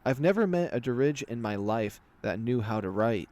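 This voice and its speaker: A man's voice: medium pitch, lively intonation, moderate pace, darkish tone, close to an everyday voice. A man voicing a blunt, knowing animal soldier in a fantasy visual novel, explaining things in an emphatic, wry way.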